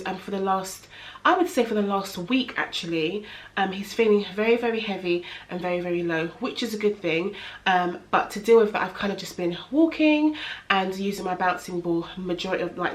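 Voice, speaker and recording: Young woman, nasal and stuffy from a cold, casual rambling home vlog. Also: medium pitch. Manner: chatty, rambling, many fillers. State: congested with a head cold. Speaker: vlogger. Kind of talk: casual pregnancy update vlog. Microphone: camera in front of her.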